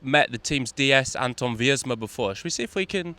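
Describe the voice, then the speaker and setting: Upbeat podcast guy asking riders questions, bright sharp voice. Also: medium pitch, lively intonation, fairly quick. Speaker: podcast host. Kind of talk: roadside interview.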